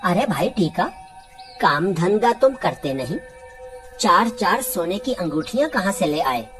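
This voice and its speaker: Woman playing a nosy earnest village guy in a cartoon, talks slow, faint music. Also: fairly low pitch, sing-song, fairly bright tone, pitched below the performer's own voice. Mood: wary, alarmed. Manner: questioning, earnest. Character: curious, suspicious, good-hearted village man, cartoon hero.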